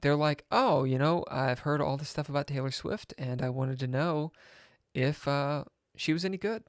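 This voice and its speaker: A man on a live stream, telling a rambling story in a sardonic, swearing manner, with loud outbursts and whispered asides. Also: a little high, normal speed, dry recording, studio quality. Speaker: chess streamer.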